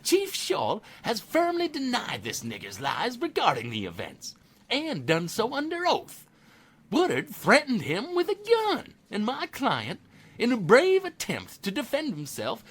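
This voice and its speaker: A man playing a pompous, bigoted Southern defense attorney with a husky voice, orating in a courtroom audio drama. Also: very high voice, wildly swooping pitch, measured pace, full character voice. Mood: indignant, pompous. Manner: pompous, rhetorical, goading.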